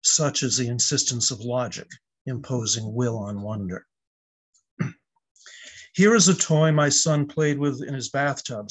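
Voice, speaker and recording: Older guy in his 70s reading a poem on a video call, measured and reflective, medium pitch. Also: rough recording. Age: older, about 70s. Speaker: poet at an open mic. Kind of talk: poetry reading.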